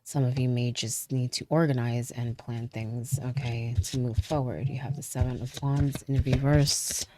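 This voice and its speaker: A woman giving a casual tarot reading, chatty, with frequent fillers, trailing pauses and light laughs, and sounding stuffy from a cold. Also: very deep voice, level tone, warm tone, slight background noise. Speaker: tarot reader.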